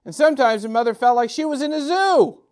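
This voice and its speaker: A middle-aged man reads a picture book to children expressively, drawing out repeated phrases with gentle, dramatic emphasis. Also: very high-pitched, expressive, clear, resonant tone. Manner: gentle, drawn-out, sing-song repetitions with dramatic emphasis. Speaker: storytime host and children's author.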